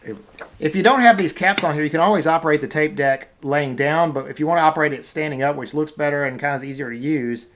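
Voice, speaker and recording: A man's voice: a little high, quick pace, background noise. A man hosting a video, giving a casual, informal and somewhat hesitant unscripted demonstration as he talks. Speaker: video host.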